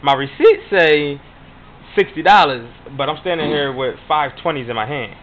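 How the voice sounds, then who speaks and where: A man's voice: on the high side, moderate pace, slight background noise. Insistent man on a tinny phone line. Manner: insistent, talking over the other person, repeating himself. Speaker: prank caller. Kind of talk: prank phone call, acting as an ATM customer. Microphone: telephone call.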